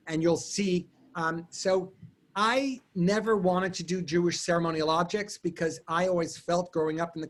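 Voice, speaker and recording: A man whose first language is German, telling stories and answering questions on a video call, quick and digressive with frequent restarts. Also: a little high. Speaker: guest artist and lecturer. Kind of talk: answering questions in an online talk.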